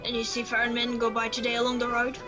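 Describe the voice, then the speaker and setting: A woman playing a gruff, suspicious old seaman captain in a radio play, with salty sailor talk and a bossy manner. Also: a little high, completely flat delivery, fast talker, music in the background, lightly acted. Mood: wary, insistent.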